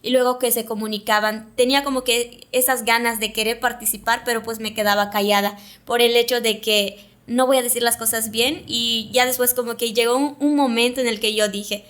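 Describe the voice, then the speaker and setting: Woman, young adult, Maya speaker, hesitant, reflective, fairly high pitch. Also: fairly even intonation, a little fast, hardly any pauses, fairly bright tone. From Mayapán, Yucatán, Mexico. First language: Yucatec Maya. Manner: reflective, hesitant, many fillers. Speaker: guest, communicator. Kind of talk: casual interview conversation.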